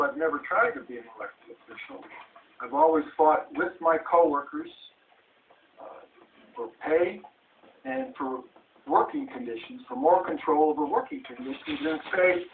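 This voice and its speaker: A man's voice: fairly high pitch, very animated, moderate pace. Distant, tinny recording of a man speaking. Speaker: election candidate and labor activist. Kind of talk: unscripted political statement. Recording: tinny phone-like clip, echoey, distant, noisy.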